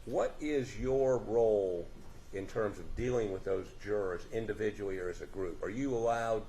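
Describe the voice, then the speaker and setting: A lower-pitched man questions a witness in court, stopping and restarting his sentences in a folksy manner. Faint room noise sits under his voice, picked up by a courtroom microphone. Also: normal speed, mellow. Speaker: defense attorney questioning a witness. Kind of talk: courtroom examination.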